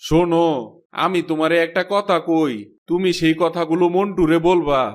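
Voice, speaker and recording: A man's voice: on the high side, fairly even intonation, normal speed, natural voice. A man playing a weary, worried village farmer father in a cartoon, lamenting in an eastern Bengali accent. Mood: earnest. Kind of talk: cartoon folk-tale voice acting.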